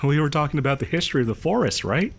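A man's voice: a little high, lively intonation, rapid-fire, vocal fry, breathy edge, exaggerated voice. Man acting as hesitant, friendly, awkward young local guy. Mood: casual, gossipy. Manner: hesitant, rambling, nervous chuckles. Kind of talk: roleplay audio horror storytelling, conversational.

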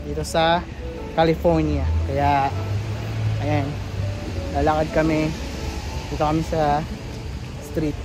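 A man in his thirties from the Philippines with a Filipino accent, vlogging casually on a rainy city street, talking excitedly into a handheld phone. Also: on the high side, slow, long pauses, music in the background, rough recording. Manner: chatty, excited exclamations, mixes Tagalog and English. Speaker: travel vlogger. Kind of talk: casual walking vlog. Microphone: handheld phone camera at arm's length.

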